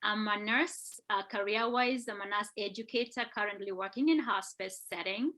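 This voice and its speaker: A woman in her fifties, a nurse educator, introducing herself on a panel call in a warm, upbeat way. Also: mid-range voice, moderate pace. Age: 50s. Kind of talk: friendly self-introduction.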